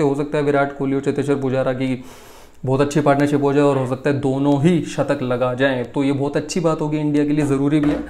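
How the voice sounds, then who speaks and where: A man's voice: a little high, dark tone. A man in his twenties from India with an Indian accent, hosting a casual, emphatic cricket news rundown in a conversational way, recorded in a small room at home. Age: 20s. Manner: conversational, emphatic, repeats key points for effect.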